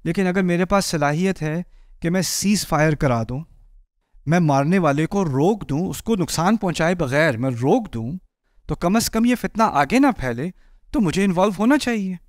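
A man in his forties giving a lively sermon on a stage, moving and gesturing as he speaks, acting out the people in the story through a headset mic. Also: normal speed, no echo, studio quality. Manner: animated, gestures widely while pacing a stage; acts out voices of people in the story, rising high for a shout. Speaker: preacher. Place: indoor stage before a patterned backdrop.

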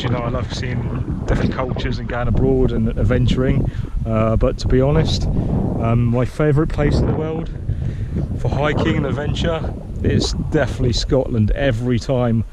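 A man talks casually to an action camera on an open coastal hillside, pausing often with ums and starting sentences over. His voice sits at a middle pitch for a man. Loud wind roars across the microphone throughout. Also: steady tone. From Britain. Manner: hesitant, many ums and restarts. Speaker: hiker filming his own trail vlog. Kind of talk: casual rambling chat to camera. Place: open grassy headland by the sea, outdoors. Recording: loud wind noise on the mic outdoors.

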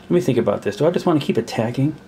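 A man at a table talks himself through a war board game, counting out pieces and stopping to puzzle over the rules. His voice sits at an ordinary middle pitch, with a faint hiss of background noise in the recording. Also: animated, rapid-fire, mellow. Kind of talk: thinking aloud while playing a war board game. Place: indoors at a table.

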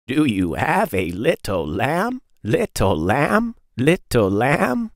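Man reading storytime with very animated pitch. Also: slow, dry recording, studio quality. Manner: simple, clearly phrased address to children. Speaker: host of a children's read-aloud show. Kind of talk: storytime read-aloud for young children.

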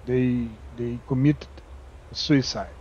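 A Brazilian man with a Brazilian Portuguese accent, chatting casually over gameplay, halting, with many fillers and restarts. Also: medium pitch, fairly even intonation, darkish tone, husky, highs cut off. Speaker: gaming YouTuber. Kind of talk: casual game commentary.

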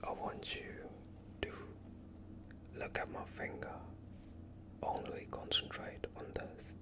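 A gentle, reassuring vampire doctor, acted out by a young man, speaks entirely in a soft whisper. The delivery is very slow and broken into short, soothing phrases, in the style of a close-up ASMR medical roleplay. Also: natural voice. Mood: calm, instructing. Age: young adult. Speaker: ASMR streamer. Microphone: close microphone.

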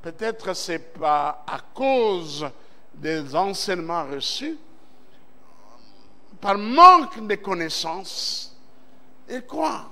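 A man's voice: sits high, animated, very slow, pauses often, bright, forceful tone. A male pastor from Central Congo preaching a Sunday sermon, reading scripture and stressing words with rising amens. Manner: preaching with emphatic swells and call-and-response amens. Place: church service.

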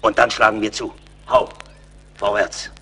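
Radio play frontier fighter, male: bold, fierce, bright and forceful voice. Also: on the low side, little pitch movement, unhurried, slight background noise. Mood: commanding. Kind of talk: dramatic German radio play acting.

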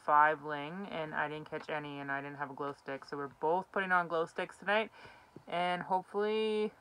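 A woman's voice: deep voice, steady tone, normal speed, fairly bright tone, smooth, low-quality recording. A young woman chatting casually in an outdoor vlog, recorded close to the camera inside a small ice fishing tent. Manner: chatty, giggly, easygoing. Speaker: outdoor vlogger. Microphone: camera held close.

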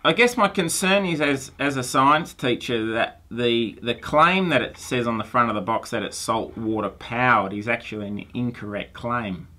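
Earnest man, bright tone. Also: mid-range voice, moderate pace, few pauses. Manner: friendly, earnest, hesitant restarts. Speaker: science teacher and host. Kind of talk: phone call to a company. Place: small room with shelves of toys and lab gear. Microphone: phone handset held to ear, room mic.

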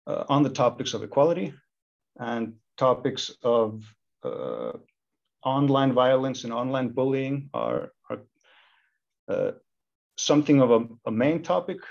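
Gravelly thirties guy from iceland on a video call, muffled audio, thinks out loud. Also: medium pitch. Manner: thoughtful, many restarts and fillers. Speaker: university lecturer and equality committee chair. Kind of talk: video-call panel discussion.

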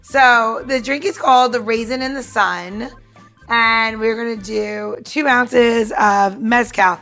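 A woman's voice: fairly high pitch, steady tone, slow, a bit bright, faint music behind. A woman co-hosting a podcast as bartender, casually talking listeners through a cocktail demonstration in a chatty way. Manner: chatty, talks the listener through each step.

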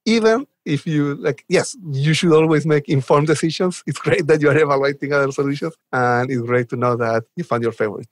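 A man's voice: a little high, fairly quick, hardly any pauses, no echo. A man, chatting informally and enthusiastically as an interview guest. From Chile. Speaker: podcast guest, software developer advocate. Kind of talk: conversational interview answers.

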